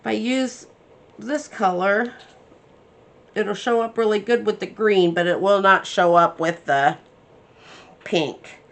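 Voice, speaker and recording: A woman's voice: medium pitch, slow pace, long pauses. A woman hosting a craft tutorial, chatting casually and thinking aloud as she works, a bit congested from her sinuses. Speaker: crafting channel host.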